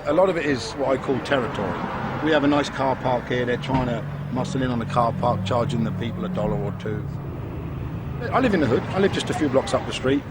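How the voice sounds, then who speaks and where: A plain-spoken man with an English accent describes things candidly, his voice sitting at an ordinary middle pitch. Loud street traffic rumbles behind him outdoors. He sounds a touch rueful and direct. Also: fast. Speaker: pub doorman. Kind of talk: candid interview. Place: outdoors outside a pub at night.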